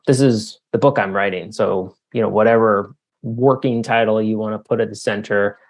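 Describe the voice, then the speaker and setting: A man from Canada answering interview questions in a conversational, explanatory way, thinking aloud with lots of "you know" and "like". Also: lowish voice. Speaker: author being interviewed.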